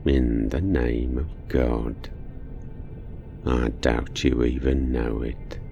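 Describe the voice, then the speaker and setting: An ancient, evil spirit speaks extremely low and very slowly, with a gravelly creak. It is sly and taunting, a menacing horror-drama villain savoring each word. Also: male voice, fairly even intonation, highs cut off, soft background music, full character voice. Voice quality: very deep, gravelly, dark. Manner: slow, deliberate, taunting. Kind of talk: audio horror drama acting.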